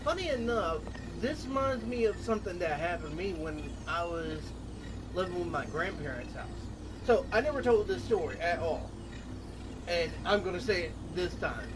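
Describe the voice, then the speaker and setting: A man streaming a game, chatting casually and telling things in a halting, confiding way. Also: sits high, lively intonation. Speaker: gameplay streamer. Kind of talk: casual chat over gameplay. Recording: distant mic with clear background noise.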